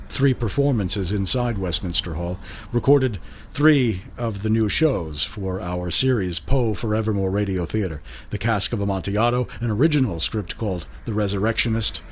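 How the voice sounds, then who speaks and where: A man speaking informally as a show's host, conversational, pausing between phrases. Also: lowish voice. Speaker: host and producer. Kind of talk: informal spoken host address. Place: outdoors in a churchyard.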